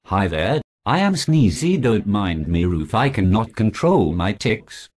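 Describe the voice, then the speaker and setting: A man's voice: lowish voice, sing-song, unhurried, darkish tone, breathy edge, full character voice. A man playing a twitchy, dismissive dwarf in an amateur fairytale, with a jerky manner. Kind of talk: amateur storybook acting.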